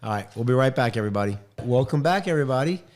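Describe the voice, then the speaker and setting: A man's voice: mid-range voice, animated, darkish tone. Upbeat middle-aged male podcast host. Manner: upbeat, joking, quick interjections. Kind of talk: casual interview podcast. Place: home studio office. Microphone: studio mic on boom arm, headphones.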